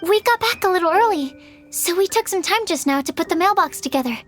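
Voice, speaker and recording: A shy, sweet young female apprentice from a video game. Her voice is extremely high, gentle and earnest, with faint music underneath. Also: lively intonation, fast. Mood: earnest, helpful. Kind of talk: animated video game voice acting.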